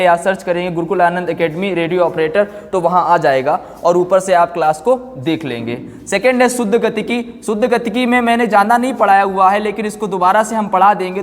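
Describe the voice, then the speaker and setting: Casting: male online tutor, high voice, explanatory delivery sprinkled with English terms, long echoing reverb. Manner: explanatory, repeats check-words and phrases. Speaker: physics teacher. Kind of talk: online exam-prep class talk.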